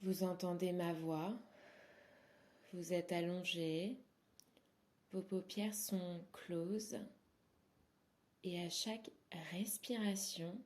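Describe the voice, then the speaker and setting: A woman guiding a yoga nidra relaxation as an instructor, speaking softly and soothingly in short, unhurried phrases that sometimes trail into breathiness. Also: fairly low pitch, fairly even intonation. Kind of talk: guided relaxation meditation.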